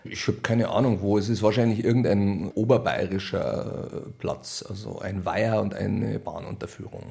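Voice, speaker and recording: A man with a low voice and very heavy creak speaks with a Bavarian accent. He answers interview questions conversationally, in a wry and colloquial manner. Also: fairly even intonation, moderate pace, warm tone. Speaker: radio play author and director being interviewed.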